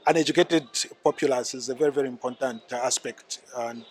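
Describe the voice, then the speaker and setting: A man's voice: medium pitch, steady tone, brisk, husky. A man, an accountant and pastor, answering interview questions thoughtfully with frequent fillers.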